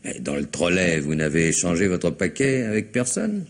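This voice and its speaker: An actor playing a patient middle-aged police superintendent in a vintage French radio drama, measured, courteous and quietly insistent. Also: male voice, deep voice, big pitch swings. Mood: probing.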